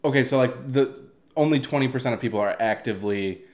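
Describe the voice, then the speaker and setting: A man's voice: medium pitch, fast talker, a little mellow. Curious man asking questions. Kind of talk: podcast conversation.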